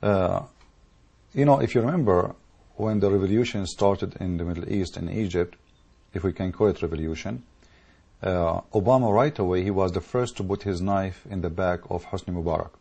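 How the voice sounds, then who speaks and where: Man, gravelly low voice, dark husky tone, emphatic rhetorical questions. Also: normal speed. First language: Arabic. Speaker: political commentator. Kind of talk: impassioned monologue to camera.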